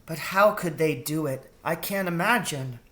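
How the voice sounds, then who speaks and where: Man voicing a gentle, higher-pitched worried mouse mother. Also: moderate pace. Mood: puzzled, worried. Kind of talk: children's storybook read aloud.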